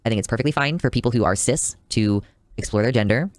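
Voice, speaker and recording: A man's voice: medium pitch, fast, no echo. A man in a video, giving an earnest, explanatory scripted commentary.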